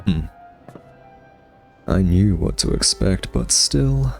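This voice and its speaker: Smug male vampire played by a man, low register, teasing drawl, ASMR monologue over background music. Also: a little mellow. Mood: gloating, teasing. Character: smug male vampire taunting his captive hunter nemesis.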